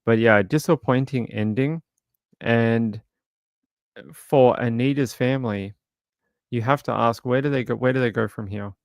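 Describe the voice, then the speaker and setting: A man hosting a true crime livestream, talking casually and reading chat, his voice crackly and gravelly, halting with fillers and restarts. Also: medium pitch, no echo.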